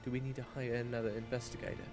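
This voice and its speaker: A man's voice: medium pitch, level tone, rapid-fire, darkish tone, soft background music, close to an everyday voice. A man playing a solemn, skeptical small-town guard in a fantasy audio drama, formal and wary. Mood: wary, curt. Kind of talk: fantasy audio roleplay acting.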